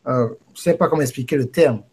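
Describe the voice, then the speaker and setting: A man's voice: mid-range voice, fast, darkish tone, slightly rough. A man hosting an interview, effusive and eager, often exclaiming in wonder. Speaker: interview host.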